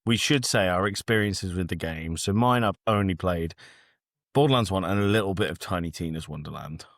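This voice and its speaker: A man's voice: low voice, a little fast, a bit bright, dry recording, studio quality. A man co-hosting a podcast in casual film review banter, blunt, sweary and facetious. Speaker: podcast co-host.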